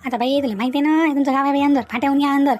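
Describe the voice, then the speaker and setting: A woman plays a chatty village matchmaker aunt in a comedy cartoon. Her voice is high and quick. She pushes her point with homely, repetitive insistence. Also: fairly even intonation, darkish tone, faint music behind, close to an everyday voice. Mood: weary complaining. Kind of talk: Marathi comedy cartoon dialogue.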